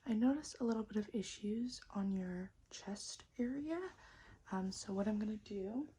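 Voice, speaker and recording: Softly whispering ASMR woman. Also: mid-range voice, normal speed, slightly rough. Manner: gentle, reassuring, repeats words in trailing whispers. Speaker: ASMR performer role-playing a robot technician. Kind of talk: soft-spoken and whispered ASMR roleplay.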